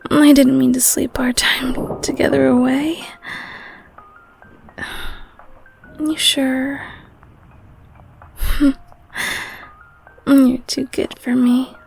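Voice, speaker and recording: A woman voicing a loving, clingy, protective girlfriend in an intimate ASMR roleplay, speaking in a soft whispery voice with tender, gentle cooing. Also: sits high, level tone, slow pace, gravelly, pauses often, faint music behind, heavily acted. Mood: sleepy, affectionate. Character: loving clingy girlfriend, secretly eldritch being, soothing and protective. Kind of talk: ASMR audio roleplay, intimate one-sided scene.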